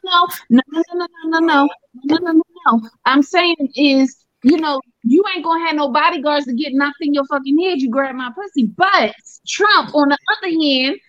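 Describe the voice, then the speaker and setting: A woman's voice: sits high, normal speed, few pauses, no room sound. A woman speaking bluntly and argumentatively, swearing freely in a casual podcast debate. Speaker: podcast panelist. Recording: dull, muffled audio.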